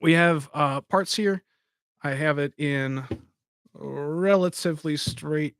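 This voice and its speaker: A man's voice: on the high side, takes it slowly, clean tone, no echo. A man hosting a live stream, chatting casually and rambling as he talks to his viewers. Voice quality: says he has a soft voice. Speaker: podcast host.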